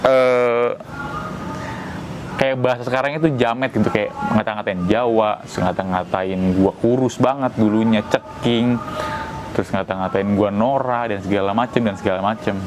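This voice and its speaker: A man's voice: fairly low pitch, steady tone, moderate pace, noisy background. A man from Tegal, giving a casual, rambling opinion monologue as a vlogger and repeating his own phrases. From Tegal, Central Java.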